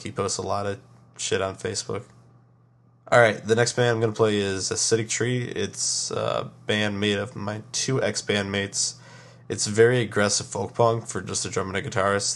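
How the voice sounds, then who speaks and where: A man's voice: lowish voice, vocal fry, fairly bright tone. A young man with a cold and a nasal voice, hosting a podcast in rambling casual chat full of fillers and restarts. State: has a cold. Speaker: podcast host.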